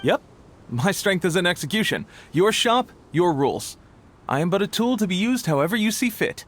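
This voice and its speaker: Humble game shopkeeper's helper, higher-pitched male voice, lively intonation, affable, deferential. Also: moderate pace. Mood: humble, candid. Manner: easygoing, deferential, slightly awkward. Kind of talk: video game cutscene acting.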